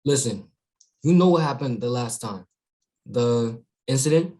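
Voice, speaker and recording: A man's voice: medium pitch, expressive, a little slow, dark tone, lightly acted. A man playing a shady gang member in a screenplay table read, speaking tersely and informally. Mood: guarded, conspiratorial. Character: shady male gang member tipping off a guard. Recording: remote online read.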